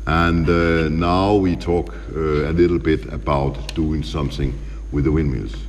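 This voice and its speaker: Man, Danish accent, very deep voice, gravelly, hesitant halting English, echoey studio, faint hiss. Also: steady tone. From Denmark. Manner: hesitant, many fillers and restarts, searches for words. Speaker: general manager, studio guest. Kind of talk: casual interview chat in halting English.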